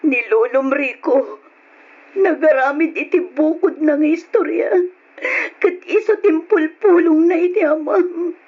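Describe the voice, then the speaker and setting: A woman's voice: high voice, measured pace. A woman playing a wronged, protective mother in old radio drama style, pleading and emotional, on a muffled recording. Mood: sorrowful, gentle.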